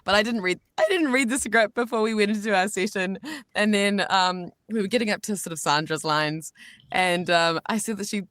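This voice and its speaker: A woman's voice: medium pitch, normal speed, a bit bright, no echo, clean studio sound. A woman voice actor chatting on a video call, rambling with fillers and frequent giggles. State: vocally tired. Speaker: voice actor guest. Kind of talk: casual video call interview. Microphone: home booth microphone.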